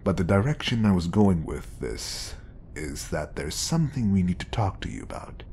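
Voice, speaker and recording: A man playing a smooth, scheming, obsessive bodyguard who feigns polite professionalism in an audio roleplay. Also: sits low, sing-song, moderate pace, a little mellow, slight background noise. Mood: earnest, persuasive.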